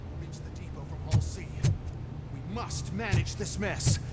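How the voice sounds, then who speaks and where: An actor playing a smug, ambitious schemer in a video game, with a condescending, impatient and commanding manner. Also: male voice, sits high, normal speed, over loud music, lightly acted.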